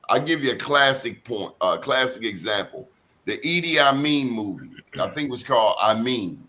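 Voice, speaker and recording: A man's voice: medium pitch, sharp tone. A man in his sixties hosting a group discussion, speaking emphatically and often cutting in. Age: 60s. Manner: emphatic, quick to interject. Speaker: host.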